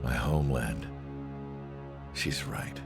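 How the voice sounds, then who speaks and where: An actor playing a weary old male scientist who reflects somberly on war in a video game, his speech measured and gravelly. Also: rumbling low, heavily acted. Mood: somber, regretful. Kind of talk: cinematic video game narration and dialogue. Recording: music behind the voice.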